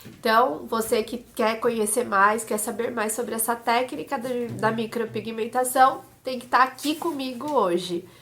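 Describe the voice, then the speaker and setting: A woman's voice: a little high, normal speed, fairly bright tone, a little hiss or hum. A woman with a congested voice teaching a live online class on eyebrow technique, explaining step by step and checking in with her viewers. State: congested, voice may crack. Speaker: eyebrow micropigmentation instructor. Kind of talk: live-stream class with chat greetings.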